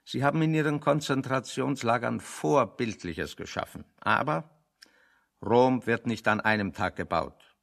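An actor playing a dry, opinionated man in a German radio play, reasoning with deadpan, sardonic deliberation. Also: mid-range voice, unhurried, gravelly.

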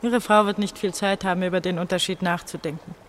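A woman's voice: on the low side, fairly even intonation, quick pace, natural voice. Radio play role: young East German woman, eighteen, bitter and feverish, brooding delivery by an actress, faint watery noise in the background.

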